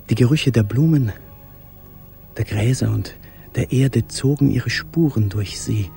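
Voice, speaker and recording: An actor playing a tender, yearning man who recalls a life in hiding in a measured, lyrical radio drama monologue. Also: lowish voice, gravelly, mellow. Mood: tender, reflective. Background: music.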